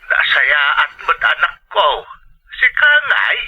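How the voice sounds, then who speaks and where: A man's voice: fairly high pitch, huge pitch swings, slow pace, breathy edge, exaggerated voice. An actor playing a tender, grateful elderly father on a phone call in a radio drama, with an Ilocano accent. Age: older. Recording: thin, band-limited telephone-style sound.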